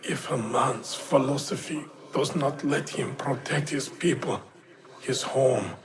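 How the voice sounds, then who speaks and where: An actor playing a bitter, proud, disillusioned traitor soldier in a video game, declamatory and impassioned. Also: male voice, medium pitch, takes it slowly, crowd noise, close to an everyday voice. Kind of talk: dramatic video game acting.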